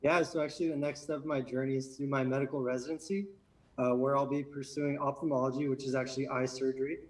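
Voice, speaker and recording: A young man, a graduate finalist, answering interview questions in a relaxed, easygoing way. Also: medium pitch, fast talker, recorded from a distance, poor audio.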